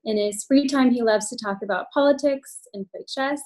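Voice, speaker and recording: A woman with a mellow, warm voice opens an event and introduces the guest speaker. She reads out a prepared introduction at an even, measured pace. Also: a little high. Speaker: event host. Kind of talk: scripted introduction.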